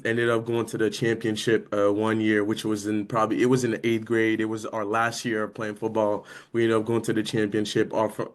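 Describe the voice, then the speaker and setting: Young guest, native French speaker, low and level, relaxed and unpolished. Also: male voice. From France. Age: young adult. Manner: easygoing, many "I mean" fillers. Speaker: guest, college linebacker. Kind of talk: casual interview.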